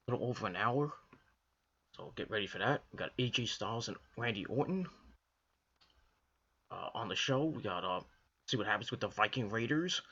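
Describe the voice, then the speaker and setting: A young man from the Northeastern US rambles casually through a wrestling review, stumbling over restarts and fillers. His voice is hoarse from a cold, lowish but bright and pushy in tone. The recording has a dull, lo-fi home sound. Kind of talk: casual solo commentary to camera.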